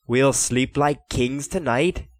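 A man's voice: medium pitch, takes it slowly, dry recording, voice pushed up, natural voice. A man reading aloud voices a cheeky young lad who jokes and clowns around. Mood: joking. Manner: cheeky, mock-dramatic. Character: young joking lad, lanky and playful.

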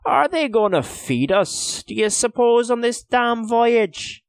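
A man's voice: lowish voice, unhurried, no room sound, studio quality. A man voicing a defiant, grumbling Irish prisoner with a scornful, colloquial manner in an audiobook story. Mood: complaining.

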